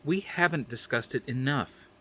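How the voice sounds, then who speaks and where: A man's voice: medium pitch, normal speed, pushed and bright, a little hiss or hum, pitched above the performer's own voice, close to an everyday voice. A man reading an audiobook, voicing an insistent blonde woman.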